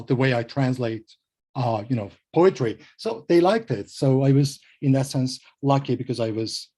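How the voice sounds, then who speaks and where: Mellow-voiced man with a Turkish accent. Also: medium pitch. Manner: hesitant, many fillers and restarts, self-deprecating. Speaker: translator and poet guest. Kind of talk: conversational panel interview.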